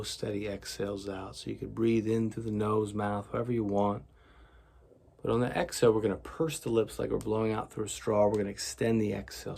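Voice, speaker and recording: A man leading a guided meditation in a gentle, soothing, deliberate way, with a gravelly, husky voice. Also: on the low side.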